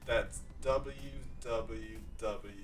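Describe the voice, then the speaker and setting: A man's voice: on the low side, steady tone, extremely slow. Distant-miked male podcast host, music behind. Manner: rambling, repeats phrases. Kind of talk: casual conversational interview talk.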